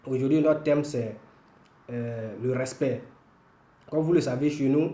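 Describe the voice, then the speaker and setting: A man from northwestern Ivory Coast with an Ivorian accent, a native Woloduka speaker, giving an informal language lesson in a deliberate, explanatory way. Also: mid-range voice, mellow. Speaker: language teacher, video host. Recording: roomy, echoing room sound.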